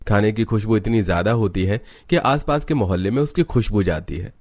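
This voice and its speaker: A man's voice: on the low side, brisk, a little mellow, no echo. A man narrating a scripted cartoon family story as a storyteller. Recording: music behind the voice. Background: music.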